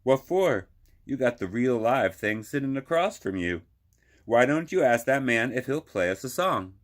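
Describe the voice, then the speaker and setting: A man voicing a spirited, wise grandmother who chides her grandson warmly in a storybook reading. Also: mid-range voice, sing-song, moderate pace, pitched above the performer's own voice, natural voice. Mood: brisk, encouraging.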